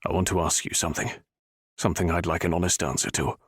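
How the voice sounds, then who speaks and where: A man voicing a heartsick young gentleman who speaks in a low, bitter murmur. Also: flat delivery, fast talker, slightly rough, no room sound, studio quality. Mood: intent.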